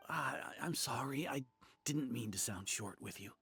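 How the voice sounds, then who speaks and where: An actor playing a weary, sincere village merchant in a video game, hesitant and earnest, with a gravelly voice. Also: male voice, mid-range voice, expressive, moderate pace, bright, forceful tone. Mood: flustered, apologetic. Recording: music under the voice. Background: game music.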